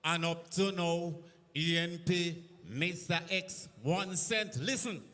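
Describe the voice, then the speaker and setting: A man performing calypso live on stage, telling a story in a slow, measured delivery. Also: high voice, a bit bright. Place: outdoor stadium stage. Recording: reverberant live stadium sound.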